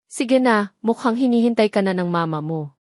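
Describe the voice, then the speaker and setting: A woman voicing a serious, protective male police officer with a firm, measured manner in an audio drama. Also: medium pitch, animated, darkish tone, no echo, clean studio sound, natural voice. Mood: gentle, encouraging.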